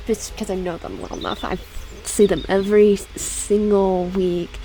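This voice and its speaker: A woman's voice: mid-range voice, steady tone, measured pace, mellow, a little hiss or hum, rough recording. A woman casually reading a visual novel game aloud with personal asides, often stumbling over words and repeating phrases. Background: background music.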